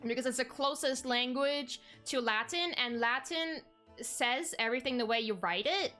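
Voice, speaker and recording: A woman's voice: high-pitched, moderate pace, pushed and bright, soft background music. A young woman from Germany, chatting casually with her stream viewers, rambling with frequent ums and self-corrections.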